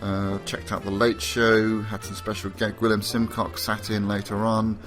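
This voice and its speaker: A man hosting a podcast, talking casually and enthusiastically with lots of fillers, a bit sleepy and croaky. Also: lowish voice, little pitch movement, normal speed, over music. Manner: rambling, enthusiastic, frequent fillers. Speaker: podcast host.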